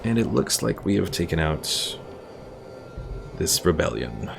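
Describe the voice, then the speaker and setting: A man's voice: low voice, sing-song, unhurried, vocal fry. A man doing casual live gameplay commentary, thinking aloud and explaining how things work. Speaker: video game streamer. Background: game music.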